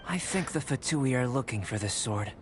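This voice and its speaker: A composed young alchemist from a video game, as a man voices him, at a middle pitch, formal and measured. He explains his findings patiently and precisely. Game music sits clearly under his lines. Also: animated, lightly acted. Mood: calm. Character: calm young male alchemist scholar, composed.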